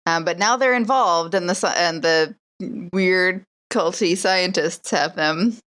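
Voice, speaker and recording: A woman's voice: fairly low pitch, measured pace, fairly bright tone. A woman playing a character in a tabletop roleplaying podcast, talking in character. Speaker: player in an actual-play podcast.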